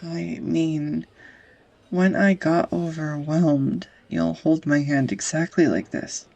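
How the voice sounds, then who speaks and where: A young woman acting a tender, easily flustered sweetheart in an intimate romantic audio roleplay, with a husky, breathy, hesitant voice. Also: low-pitched, takes it slowly, darkish tone, lo-fi sound, heavily acted. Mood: tender, earnest. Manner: hesitant, confessional, breaking into whispers.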